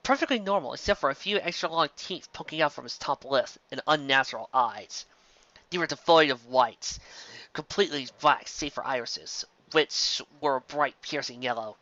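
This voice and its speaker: A man reading fan fiction aloud as an amateur narrator, expressive and occasionally stumbling over words. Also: mid-range voice, moderate pace, no echo, highs cut off.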